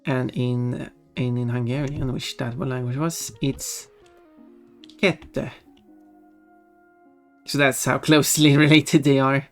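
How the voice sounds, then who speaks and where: A casual streamer with a mid-pitched voice of ambiguous gender chats over soft music. The talk rambles and doubles back to correct itself, with giggly little asides. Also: level tone, slow, long pauses. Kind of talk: casual livestream chat. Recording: music behind the voice. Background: background music.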